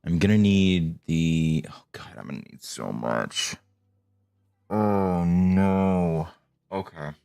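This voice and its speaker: A man casually talking to himself while playing a game, low-key and mumbling, with a voice he says people call soothing. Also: animated, takes it slowly, creaky, darkish tone, clean tone, no room sound. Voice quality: voice called soothing. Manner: low-key, mumbling, swears when frustrated. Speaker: streamer. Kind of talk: casual gameplay commentary.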